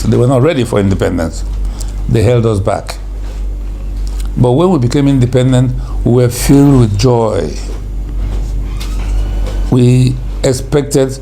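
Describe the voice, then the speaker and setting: An older man with a Nigerian accent and a croaky voice, a guest recalling the past at an unhurried pace. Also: mid-range voice, mellow, a little hiss or hum. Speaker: interview guest. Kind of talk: reflective storytelling.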